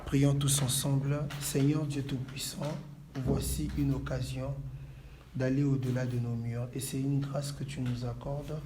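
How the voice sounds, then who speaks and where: A man from Congo who leads a church, answering calmly and praying in a measured, preacherly way. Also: mid-range voice, a little hiss or hum. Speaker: pastor. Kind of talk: calm interview answers and prayer. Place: inside a church.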